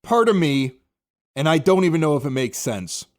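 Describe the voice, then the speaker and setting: A middle-aged man with a noticeably high-pitched voice hosts a sports podcast. He speaks in an easy, conversational way, as a presenter does to listeners and guests. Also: sing-song, normal speed. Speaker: podcast host. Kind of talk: casual sports talk and scripted ad read.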